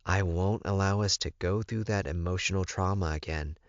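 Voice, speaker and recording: A man's voice: low-pitched, normal speed, no room sound, lo-fi sound. A man voicing a modest, polite young woman, an earnest werewolf heroine in an audiobook.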